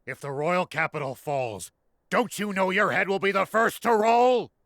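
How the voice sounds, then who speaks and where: An actor playing a principled veteran general in a video game, earnest, direct and persuasive. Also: male voice, high voice, lively intonation, normal speed, fairly bright tone. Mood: stern, persuasive. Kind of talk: dramatic video game voice acting. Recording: prominent music behind the voice.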